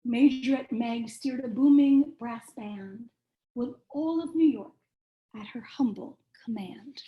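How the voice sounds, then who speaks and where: High-pitched woman reading a slow bedtime story. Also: lo-fi sound. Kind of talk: storybook read-aloud for children.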